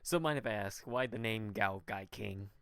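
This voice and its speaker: A man's voice: on the low side, moderate pace, fairly bright tone, clean tone, dry recording, clean studio sound. A man hosting a casual chat, putting brief questions and replies to his guest. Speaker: podcast host, interviewer.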